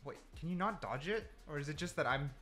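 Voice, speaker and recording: A man's voice: a little high, animated, fast. A man giving casual, unscripted gameplay commentary, thinking aloud and correcting himself as he goes in an informal way. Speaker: gaming video creator. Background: background music.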